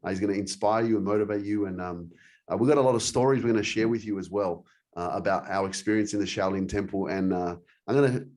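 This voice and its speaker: A man's voice: fairly low pitch, fast, darkish tone. A man hosting a motivational podcast, enthusiastic and encouraging, talking with many fillers. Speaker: podcast host. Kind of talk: energetic podcast interview.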